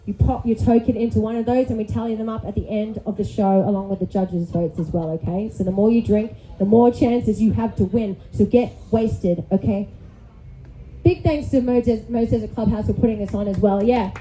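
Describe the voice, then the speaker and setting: A woman's voice: mid-range voice, fairly even intonation, fairly quick, dark tone, slight background noise, rough recording. A woman hosting a live comedy show from a club stage on a handheld mic, chatty and upbeat, often tagging lines with "okay?". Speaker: host. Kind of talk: upbeat live emcee patter.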